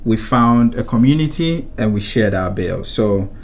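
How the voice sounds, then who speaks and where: A young man from Ghana co-hosting a casual chat about saving money, explaining calmly and easily. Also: on the low side, normal speed. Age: young adult. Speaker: co-host of a personal finance channel.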